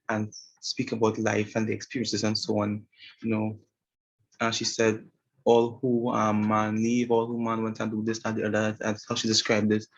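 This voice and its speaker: Trinidadian man, hesitant talk, husky voice. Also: fairly low pitch. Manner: hesitant, many fillers and restarts. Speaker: student presenter. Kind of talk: informal talk about an interview. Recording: muffled, lo-fi sound.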